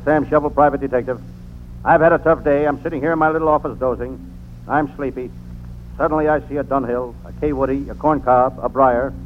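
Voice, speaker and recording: An actor playing a deadpan, world-weary private detective narrating an old-time radio comedy. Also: male voice, medium pitch, natural voice. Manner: deadpan, punning first-person delivery. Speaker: narrator and lead character. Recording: noisy, narrow-band vintage radio recording.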